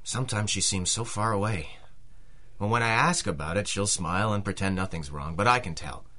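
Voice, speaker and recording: An actor playing a big, polite, plain-spoken working man in a radio detective drama, earnest, bashful and halting. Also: fairly low pitch, sharp tone. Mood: troubled, earnest. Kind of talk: old-time radio drama acting.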